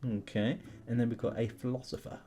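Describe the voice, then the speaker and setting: A man's voice: mid-range voice, flat delivery, brisk, warm tone, a little hiss or hum. A man giving casual game commentary as a host, thinking aloud in questions and chatting to his viewers. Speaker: YouTube gamer host. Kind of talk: casual commentary while playing an online history quiz game.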